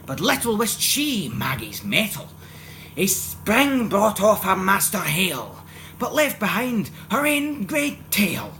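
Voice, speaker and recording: A man with a Scottish accent giving a theatrical, expressive dramatic recitation of a narrative poem in Scots. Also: high-pitched, slow, a little hiss or hum. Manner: theatrical, expressive, swinging from hushed to loud. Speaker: poetry reciter.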